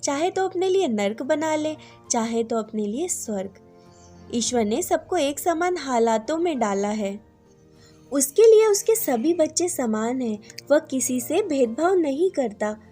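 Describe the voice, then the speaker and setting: A benevolent god of death from a folktale, voiced by a woman. The voice is high and mellow, instructing in a measured, moralizing way.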